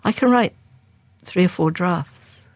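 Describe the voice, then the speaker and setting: A woman's voice: on the low side, slow, no echo. An older woman, a crime novelist, answering interview questions in a chatty, thoughtful way with frequent restarts.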